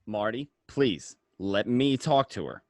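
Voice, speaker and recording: A man playing a reasonable, calming gangster friend in a crime radio play, soothing and a little hesitant. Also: lowish voice, slow, dry recording.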